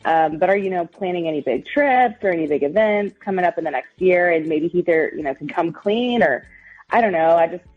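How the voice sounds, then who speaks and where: A woman's voice: lowish voice, animated, brisk. A woman talking casually and hesitantly as a caller to a radio show. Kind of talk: casual phone chat, hesitant explaining. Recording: muffled lo-fi audio, music underneath.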